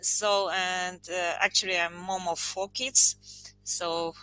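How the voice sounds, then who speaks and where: Slow, halting woman guest. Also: lowish voice, level tone, sharp tone, soft background music, rough recording. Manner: slow, halting, with many fillers.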